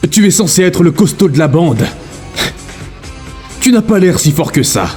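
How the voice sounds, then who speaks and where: Man as a high, mellow, sneering rival. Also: sing-song, moderate pace, soft background music. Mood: scornful. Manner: taunting. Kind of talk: amateur cartoon game fandub.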